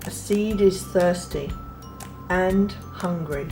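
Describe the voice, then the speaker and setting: A woman reading a children's picture book aloud in a gentle, unhurried way, pausing softly between phrases. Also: fairly low pitch. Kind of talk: read-aloud storybook narration. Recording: read over clear background music.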